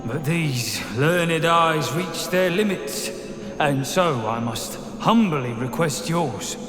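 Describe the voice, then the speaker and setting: A man's voice: fairly high pitch, lively intonation, slow, fairly bright tone. A man playing a weary old dwarf stonemason who speaks formally and courteously in a fantasy game. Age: older. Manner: formal, musing, polite. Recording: echoey cavern with music behind.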